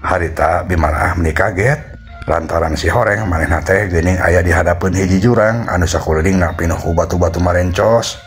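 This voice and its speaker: Low-voiced Sundanese male storyteller. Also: fairly quick. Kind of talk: folktale narration. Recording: music behind the voice.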